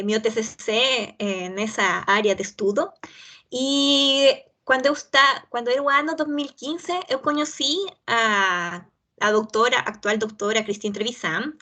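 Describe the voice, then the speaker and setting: Bright-voiced Chilean woman speaking Portuguese. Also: a little high, a little slow. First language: Spanish. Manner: hesitant, searching for words. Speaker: guest speaker, geologist. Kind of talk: online lecture.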